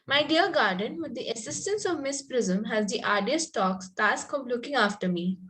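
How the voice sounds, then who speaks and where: Naive, romantic young ingenue, played by a woman, slightly high voice, prim and earnest, Victorian comedy table read. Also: lively intonation, normal speed, fairly bright tone. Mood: eager, polite. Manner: earnest, politely formal. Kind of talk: amateur play table read.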